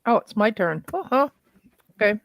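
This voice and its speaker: A woman's voice: medium pitch, big pitch swings, normal speed. A woman playing cards with friends on a call, making brief easygoing remarks. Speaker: fellow player on a call. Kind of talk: casual chat while gaming.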